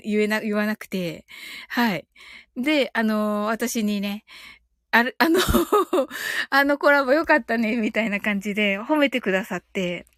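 A woman with a fairly high voice hosts a casual livestream. She talks in short, halting phrases padded with fillers and soft tag words. Her manner is chatty and prone to giggling, as if speaking directly to listeners she knows. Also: no echo. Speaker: livestream host. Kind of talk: casual livestream chat, greeting listeners and reading comments.

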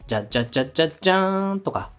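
A man's voice: fairly high pitch, expressive, rapid-fire, fairly bright tone, soft background music. A man speaking as a podcast host and director, giving polite, step-by-step feedback and acting out short examples as he explains. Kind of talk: structured coaching talk on a podcast.

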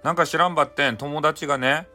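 A man's voice: fairly high pitch, fairly even intonation, fast, pushed and bright, faint music behind, voice pushed up. A man voicing a curious, determined young boy who speaks plainly in folksy Hakata dialect. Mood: grateful, resolute.

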